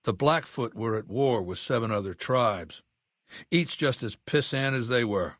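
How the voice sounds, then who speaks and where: An actor playing an arrogant, commanding middle-aged warlord in a video game, speaking with condescending, deliberate diction. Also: male voice, mid-range voice, lively intonation, a bit bright, no echo, studio quality. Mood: contemptuous storytelling.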